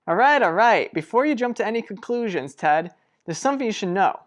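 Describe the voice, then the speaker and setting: An actress playing an earnest, protective sister in a radio soap drama, speaking deliberately and persuasively. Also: female voice, medium pitch, lively intonation, close to an everyday voice. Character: earnest, protective sister pleading for a troubled couple. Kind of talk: revived vintage radio soap drama acting.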